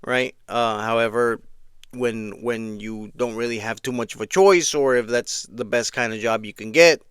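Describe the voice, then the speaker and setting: A man with a smooth, clear, mid-pitched voice talks in a loose, conversational way, restarting phrases and tossing in "right?" as he goes. The recording is dry and close with no room sound. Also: moderate pace, a bit bright, no echo. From Mexico, raised in Eastern Washington. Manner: conversational, frequent restarts and "right?" check-ins. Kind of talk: casual spoken commentary.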